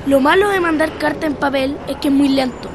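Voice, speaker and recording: A high-voiced performer playing an easygoing, joking young skateboarder with a Chilean accent in a radio drama. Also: a little fast, noisy background, lightly acted. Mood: casual.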